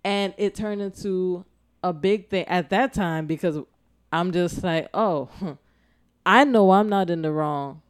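Low-voiced woman telling a story. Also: slow, clean tone, dry recording, studio quality. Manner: animated storyteller acting out dialogue. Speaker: podcast co-host. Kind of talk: casual storytelling chat.

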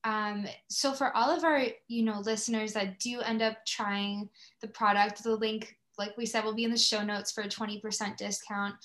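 A woman with a fairly high voice speaks as a podcast host. She talks in a conversational way, as in an interview. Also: bright, forceful tone.

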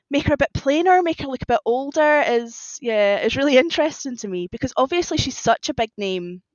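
A woman's voice: medium pitch, no room sound, muffled recording. A woman chatting casually and enthusiastically as a podcast guest. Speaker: podcast guest, fan fiction writer. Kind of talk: casual chat.

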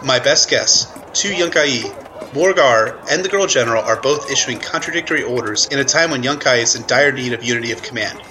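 A man's voice: medium pitch, animated, brisk, poor audio. A man playing an urgent field correspondent reporting live from a battle in a radio news play. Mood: grave, urgent. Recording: loud battle crowd noise behind. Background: battlefield crowd effects.

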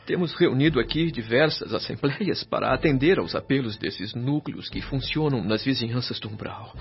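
An actor playing a grave spirit mentor in a Brazilian radio drama, speaking in a solemn, deliberate, preaching way. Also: male voice, medium pitch, close to an everyday voice. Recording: dull lo-fi, music underneath.

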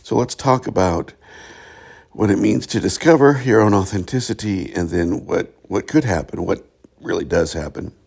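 A hoarse middle-aged man hosting a reflective spiritual talk show, speaking in a halting way with many pauses. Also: low voice, a little mellow. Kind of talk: reflective solo monologue.

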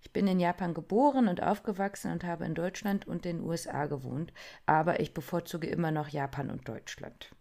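Woman co-host, low-ish voice, relaxed podcast banter with fillers. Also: a little fast, creaky. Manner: chatty, filler-heavy, laughs often. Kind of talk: casual chat.

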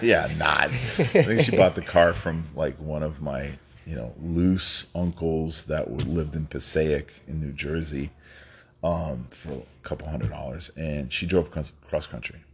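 A man's voice: rumbling low, measured pace, slight background noise. A man in his fifties from New York, telling his life story as a guest in a rambling, casual way with frequent laughs. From New York, United States. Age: 50s. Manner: rambling, laughs often, many fillers and restarts.

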